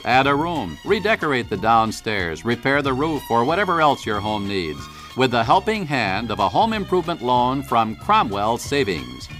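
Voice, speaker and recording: A man's voice: medium pitch, fairly bright tone. A man reading a scripted radio commercial as an announcer. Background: faint music.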